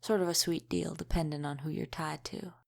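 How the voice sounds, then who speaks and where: Low-voiced, world-weary vampire woman, acted. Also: fairly even intonation, gravelly, darkish tone. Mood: explaining, wry. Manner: measured, matter-of-fact, lightly wry. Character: centuries-old female vampire, world-weary, guarded mentor. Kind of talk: scripted audio roleplay, addressed to the listener.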